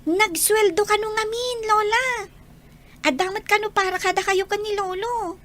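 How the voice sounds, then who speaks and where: A woman's voice: very high-pitched, fairly even intonation, normal speed, a crowd in the background. A woman with an Ilocano accent acting a chatty, affectionate young daughter in an audio drama. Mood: bright, affectionate.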